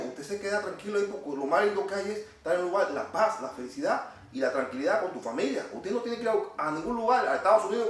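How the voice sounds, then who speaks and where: Cuban-accented man, middle-aged, scolding rant, rapid talk, fairly high voice, very echoey room, off-mic. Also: recorded from a distance. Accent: Cuban Spanish. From Cuba. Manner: indignant, scolding, repetitive. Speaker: vlogger. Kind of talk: ranting monologue to camera.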